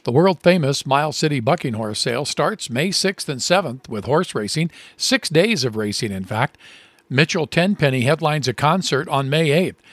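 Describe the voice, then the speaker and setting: A man co-hosting a radio show, chatting and reading ad copy with lively stresses. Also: a little high, moderate pace, no echo. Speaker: radio co-host. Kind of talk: radio show chat and read ads.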